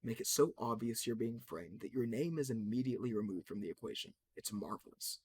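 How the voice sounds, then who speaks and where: A man voicing a cold, scheming male scientist in a low, quiet, sardonic tone. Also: lively intonation, brisk, breathy edge.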